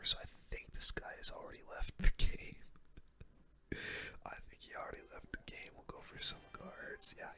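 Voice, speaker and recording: Whispering ASMR gamer. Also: extremely high pitch. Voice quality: soft breathy unvoiced whisper. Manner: casual slangy gamer talk, reacting to play. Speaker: gaming video host. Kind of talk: whispered ASMR gaming commentary.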